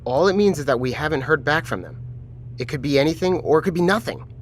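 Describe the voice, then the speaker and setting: Actor as pragmatic male navy commander, lighter-pitched, measured and placating, audio drama, faint background noise. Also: lively intonation, natural voice. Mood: measured, reasoning.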